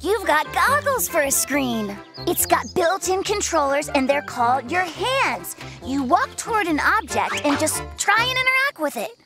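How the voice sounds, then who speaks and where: A woman's voice: sits high, very animated, normal speed, hardly any pauses, over music. A woman voicing a friendly, sensible young male brown bear cub in a children's cartoon, speaking earnestly and patiently. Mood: earnest, persuasive. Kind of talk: children's animated series dialogue.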